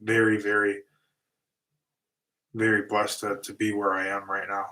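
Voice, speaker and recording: Middle-aged male livestream host, lower pitch, relaxed rambling delivery, tiring voice. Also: measured pace. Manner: easygoing, rambling with restarts and fillers. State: voice getting tired and going. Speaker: livestream host, lawn and concrete business owner. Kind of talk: casual livestream Q&A, reading chat questions.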